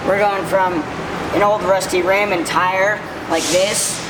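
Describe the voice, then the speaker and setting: A man with a raspy voice and a cough, casually walking viewers through a boat trailer repair outdoors. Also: high voice, steady tone, slow pace, distant mic, noisy background. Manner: easygoing, addresses viewers directly. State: has a cough. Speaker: outdoor channel co-host. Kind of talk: casual how-to vlog.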